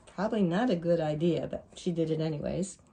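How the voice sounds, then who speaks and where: A woman reading a picture book aloud to young children in an expressive, deliberate way. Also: low-pitched, gravelly, husky. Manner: deliberate, pausing to ask listeners questions. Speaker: children's story reader.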